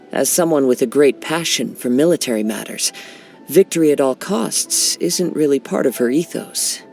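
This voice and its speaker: A man voicing a young wandering samurai in a video game, gentle and serene, speaking in a soft, reflective, poetic manner. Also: mid-range voice, creaky, natural voice. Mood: thoughtful, admiring. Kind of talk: video game character voice lines.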